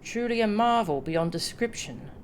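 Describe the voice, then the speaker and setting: A woman's voice: medium pitch, normal speed, a little hiss or hum. A woman streaming a game with casual, exclamatory reactions, sometimes reading dialogue aloud, with music behind her. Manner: expressive, exclamatory.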